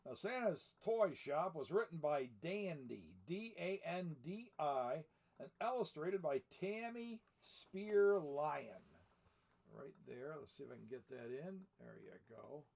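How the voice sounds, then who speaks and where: A man's voice: fairly high pitch, expressive, a little slow, vocal fry, darkish tone. An older man in the role of Santa Claus reads a picture book aloud, adding folksy, chatty asides as he goes. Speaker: storyteller in the persona of Santa Claus.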